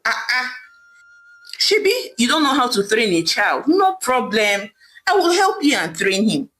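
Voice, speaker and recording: A woman's voice: mid-range voice, fairly bright tone, rough recording. A woman with a Nigerian accent voicing a stern, vengeful young woman who scolds and defies an older mother. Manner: scolding, defiant.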